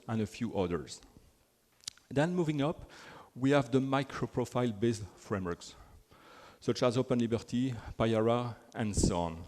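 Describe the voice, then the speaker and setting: A man's voice: mid-range voice, a little mellow. A man from Belgium with a French accent, giving a chatty technical conference talk full of fillers and restarts. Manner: chatty, self-deprecating, frequent fillers and restarts. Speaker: conference speaker. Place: large conference hall. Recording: hall with long reverb.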